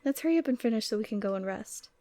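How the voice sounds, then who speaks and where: A woman acts out a doting yeti girl for an ASMR roleplay. The voice sits fairly high for a woman. She is tender and teasing, coaxing softly with breathy sighs and a lonely fondness. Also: animated, very fast, no room sound, close to an everyday voice. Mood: content, relaxed. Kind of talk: ASMR roleplay audio drama.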